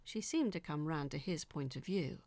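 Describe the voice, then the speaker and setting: A woman reading a scripted first-person story as narrator, in a measured, dramatic storytelling manner. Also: sits low, expressive, darkish tone, no echo.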